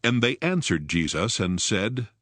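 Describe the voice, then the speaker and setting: A man's voice: on the low side, big pitch swings, unhurried, a bit bright, no echo. A man narrating scripture from a script in a formal, dramatic storytelling manner. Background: prominent background music.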